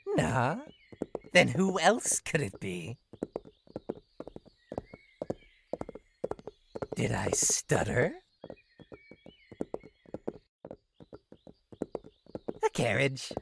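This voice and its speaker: Wildly swooping, creaky jester demon. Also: female voice, a bass voice, very animated, slow, noisy background, full character voice. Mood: mocking, sulky. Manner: theatrical sing-song teasing, sudden swoops into breathy menace. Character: playful, teasing clown-like jester demon, mischievous and sinister. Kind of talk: visual novel horror game character acting.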